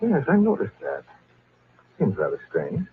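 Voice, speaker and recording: An actor playing a curious, level-headed husband in old-time radio drama, with a brisk conversational manner. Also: male voice, fairly high pitch, huge pitch swings, heavily acted. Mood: easygoing, curious. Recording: muffled, noisy old radio recording.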